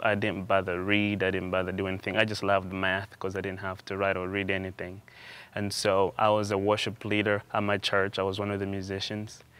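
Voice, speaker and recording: Low-voiced young man from Uganda. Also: a bit bright, clean tone. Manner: hesitant, frequent restarts and repeated words. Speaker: interview guest, theology student. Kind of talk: conversational interview.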